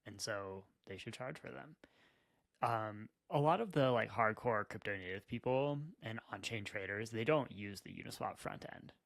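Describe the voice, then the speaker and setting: A man co-hosting a podcast, wry and quick-witted, giving his opinions in casual chat. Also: on the low side, vocal fry, a bit bright, dry recording. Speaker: podcast co-host. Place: Utah.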